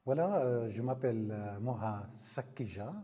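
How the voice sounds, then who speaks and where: An older man from Palestine, slowly telling his life story and pausing often to find his words. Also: mid-range voice, dark tone. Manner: slow, searching for words with frequent pauses. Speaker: interviewee. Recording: reverberant room.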